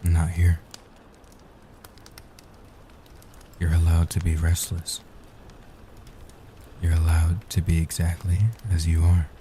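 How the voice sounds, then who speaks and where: A young man playing a gentle, devoted centuries-old vampire guardian, soft-spoken and reassuring in a slow comfort roleplay. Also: deep voice, monotone, a little hiss or hum. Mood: soothing. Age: young adult. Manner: tender, reassuring, slow and deliberate.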